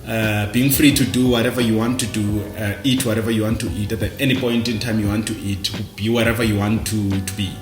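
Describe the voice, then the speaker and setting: A South African man talking casually and reflectively to camera, halting and repeating words as he goes. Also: on the low side, fairly even intonation, roomy sound, over music. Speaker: vlogger. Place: indoors, dim room at night.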